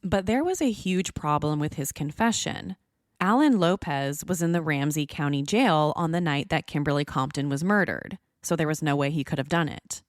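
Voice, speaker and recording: A woman's voice: deep voice, moderate pace, no room sound, clean studio sound. A woman hosting a podcast, reading scripted true-crime narration. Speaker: podcast host.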